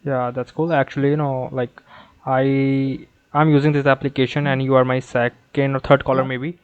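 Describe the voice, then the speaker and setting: A man from northern India with a North Indian accent, chatting on an online voice call, soft and hesitant with many fillers. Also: mid-range voice, level tone, moderate pace, clear, resonant tone. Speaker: YouTuber practicing English. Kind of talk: casual chat on a voice call. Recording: tinny phone-like call audio.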